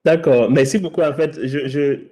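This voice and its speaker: A man's voice: fairly high pitch, fairly even intonation, moderate pace, dark tone. A male interviewer asking questions in a hesitant way, often restarting and repeating filler words.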